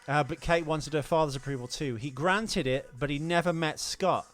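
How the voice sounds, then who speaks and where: A man's voice: a little high, normal speed, a bit bright, soft background music. A man hosting a comedic film podcast, chatting casually in a jokey, crude, rambling way. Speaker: podcast host.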